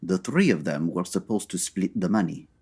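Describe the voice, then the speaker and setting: A man's voice: low-pitched, expressive, dark tone, breathy edge, full character voice. A man playing a formal, guarded French bodyguard in a radio drama, with a French accent. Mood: formal, explanatory. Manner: formal, measured.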